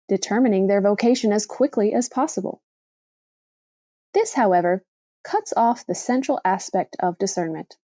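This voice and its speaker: A woman reading a reflective religious essay aloud as scripted narration, with measured, deliberate phrasing. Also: medium pitch, dark tone, no echo. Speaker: reader of a blog post for a podcast.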